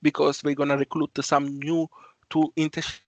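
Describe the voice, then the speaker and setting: Halting Italian-accented young man. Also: fairly high pitch, level tone, a little mellow, no echo, muffled recording. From Italy. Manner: hesitant, halting with restarts. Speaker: student intern presenter. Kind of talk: online presentation. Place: home, video call. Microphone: video call mic, cutting in and out.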